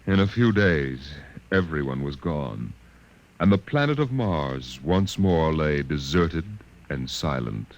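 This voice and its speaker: A man narrating a radio drama in a grave, deliberate, dramatic storytelling style. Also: very deep voice, animated, background noise, full character voice. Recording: muffled vintage radio recording with music.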